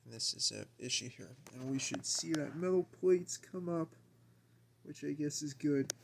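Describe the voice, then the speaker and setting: A man's voice: fairly high pitch, expressive, normal speed, gravelly, slight background noise. A man giving a casual, unscripted demonstration at home, musing and a little hesitant as he thinks aloud. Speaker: amateur video maker demonstrating equipment. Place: carpeted room at home, indoors.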